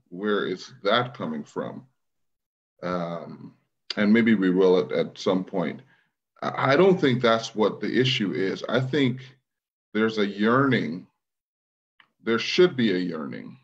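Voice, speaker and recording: Guest, middle-aged man, very low voice, measured and reflective, muffled call audio. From Guyana, raised in Brooklyn. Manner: thoughtful, measured, with restarts. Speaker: guest, leadership trainer. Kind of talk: conversational interview. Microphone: video call.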